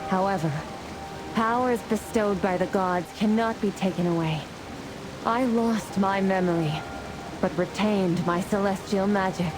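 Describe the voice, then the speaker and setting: A woman's voice: medium pitch, unhurried, lightly acted, poor audio. A woman playing a resolute celestial fire warrior in a fantasy game, speaking in a grave, formal, declamatory way. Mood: reflective. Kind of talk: scripted fantasy game voice acting. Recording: music and rain behind the voice. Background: music, rain.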